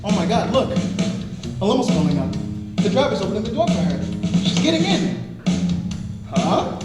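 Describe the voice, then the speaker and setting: A man's voice: high voice, big pitch swings, moderate pace. A man playing a gossipy, suspicious street onlooker in a live staged musical reading. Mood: gossipy, amazed. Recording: echoey live hall, loud music.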